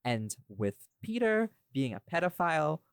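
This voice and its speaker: A man's voice: fairly high pitch, sing-song, normal speed, vocal fry, clean tone, no room sound. A man in a casual podcast chat, talking in a rambling, irreverent way and swearing freely as he explains himself. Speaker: podcast host and voice actor.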